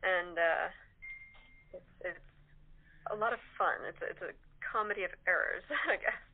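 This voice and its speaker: A woman's voice: lowish voice, measured pace. A woman actor giving a casual, informal interview as a guest. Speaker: actress being interviewed as guest. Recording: tinny phone line.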